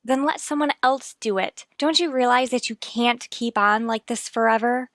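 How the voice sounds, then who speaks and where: A woman's voice: fairly high pitch, normal speed, vocal fry, a bit bright, dry recording, clean studio sound. An actress playing a hero's worried, devoted female companion in a radio drama, earnest and pleading. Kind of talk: old-time radio drama acting.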